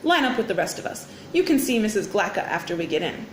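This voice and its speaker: A woman's voice: mid-range voice, big pitch swings, fast, lightly acted. Woman reading as a stern, formal male schoolteacher. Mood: curt, formal. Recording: roomy echo, faint background noise.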